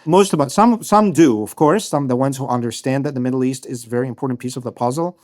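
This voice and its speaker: A man's voice: medium pitch, fast talker, darkish tone. A man in his thirties from Egypt, a guest writer giving long, reflective interview answers with frequent restarts and fillers. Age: 30s. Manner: thoughtful, many restarts and fillers.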